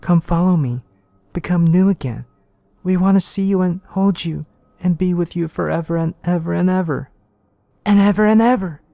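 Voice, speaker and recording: A man voicing the ghostly wife and child of a grieving detective, in a high, pleading, hypnotic way. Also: normal speed, vocal fry, dark tone, dry recording. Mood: pleading, eerie.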